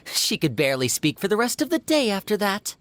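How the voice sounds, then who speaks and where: A man's voice: high voice, big pitch swings, fairly quick, fairly bright tone, dry recording. An actor playing a suave, courteous young male magician in a video game, with a polished, theatrical manner. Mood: amused, polite.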